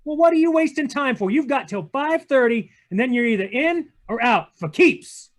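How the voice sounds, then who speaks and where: An actor playing a wry, impatient private detective in a 1940s radio drama, terse and sardonic. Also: male voice, very high voice, expressive, moderate pace. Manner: terse, sardonic, hard-boiled. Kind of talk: 1940s radio drama read-through with narration. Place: video call read-through.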